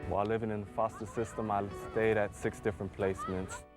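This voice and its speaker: A man's voice: lowish voice, little pitch movement, fast talker. A young American man of about nineteen speaking candidly about his life in an interview. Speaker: interviewee, foster youth. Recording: music bed under the voice.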